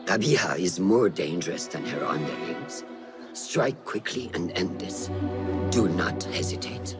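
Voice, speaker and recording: A deep, commanding male mentor of assassins for a dramatic game scene. His delivery swings widely in pitch with stern authority, over clearly audible music. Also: lively intonation, unhurried. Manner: authoritative, admonishing. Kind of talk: dramatic video game acting.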